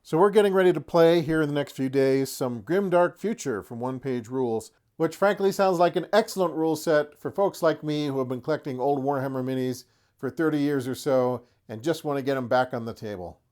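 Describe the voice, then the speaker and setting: A man's voice: a little high, fairly quick, darkish tone. A middle-aged man hosting a hobby channel in a casual, folksy way with wry asides. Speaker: host of a tabletop wargaming channel. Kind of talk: casual product showcase to camera.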